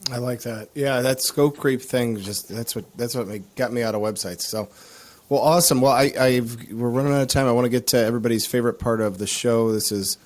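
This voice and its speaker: Upbeat podcast host guy on a video call, says awesome a lot, mid-pitch, easygoing. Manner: upbeat, quick affirmations like "awesome" and "I love it". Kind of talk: casual interview hosting.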